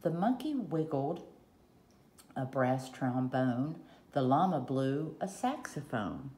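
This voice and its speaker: A woman reading a children's picture book aloud in short, deliberate rhyming phrases, recorded at a table at home. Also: rumbling low, very animated, warm tone. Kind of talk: read-aloud storytelling. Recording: roomy echo, faint background noise.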